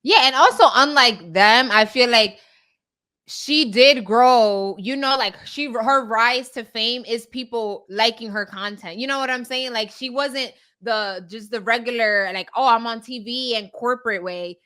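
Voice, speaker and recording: A woman's voice: on the high side, a little slow, bright, forceful tone. A woman co-hosting a talk podcast, giving casual, opinionated commentary and repeating her points for emphasis. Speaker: podcast co-host.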